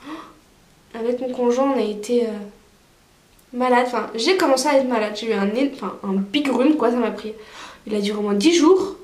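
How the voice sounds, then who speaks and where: A woman's voice: fairly high pitch, fairly quick, darkish tone, reverberant. A woman vlogger with a cold, chatting casually through a makeup tutorial with many fillers. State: stuffy with a cold. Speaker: beauty vlogger.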